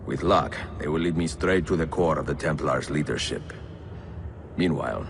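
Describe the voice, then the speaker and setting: An actor playing an aging, grave master assassin in a video game, with an Italian accent and a measured, formal manner. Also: male voice, noisy background, exaggerated voice. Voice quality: deep, gravelly. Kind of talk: video game character acting.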